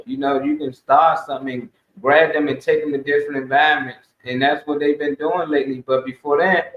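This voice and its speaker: Echoey, high-voiced man talking emphatically. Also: steady tone, a little fast, slight background noise. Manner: emphatic, exhorting, colloquial, swearing. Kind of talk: casual opinionated community talk. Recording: dull, echoey room sound.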